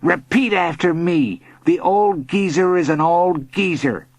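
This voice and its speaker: A man's voice: high voice, measured pace, no echo, pitched above the performer's own voice. A man voicing a pushy, easily frightened boy bully in a narrated children's story. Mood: taunting. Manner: pushy, stammers when scared.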